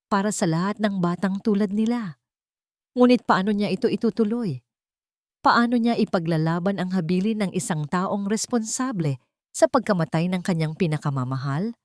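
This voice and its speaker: A woman's voice: fairly low pitch, a little mellow, no room sound, studio quality. A woman with a Filipino accent reading a scripted dramatic story as its narrator.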